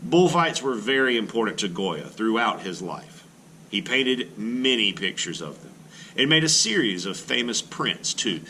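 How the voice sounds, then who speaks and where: An art teacher reads a children's book aloud in a bright, crisp voice. He breaks in with his own asides for the class, and his pitch swings widely as he reads. A faint hiss sits under the recording. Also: unhurried, low-quality recording. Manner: expressive storybook reading with teacherly asides.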